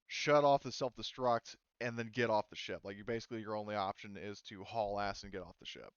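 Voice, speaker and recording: Smooth guy game mastering, casual and halting, bit dull dry mic. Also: on the low side, dry recording. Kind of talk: casual tabletop game narration.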